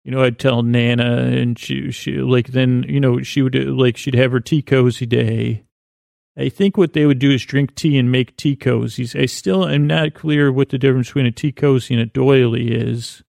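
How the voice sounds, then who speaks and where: Creaky-voiced man rambling deadpan. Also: mid-range voice, moderate pace, no room sound, studio quality. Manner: meandering, deadpan, full of restarts and asides. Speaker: podcast host. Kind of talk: rambling improvised comedic monologue.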